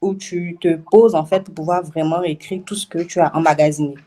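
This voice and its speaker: A woman's voice: sits low, fairly even intonation, a little fast, dark tone. A woman who works as a web writer answers interview questions in a hesitant way, repeating words and laughing often.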